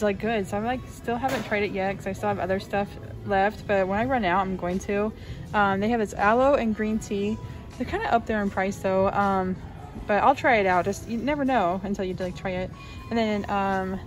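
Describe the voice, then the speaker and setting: A young woman filming a shopping vlog in a store aisle, chattily reading out product labels and prices with lots of fillers. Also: mid-range voice. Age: young adult. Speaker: shopping vlogger. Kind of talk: casual shop-with-me narration.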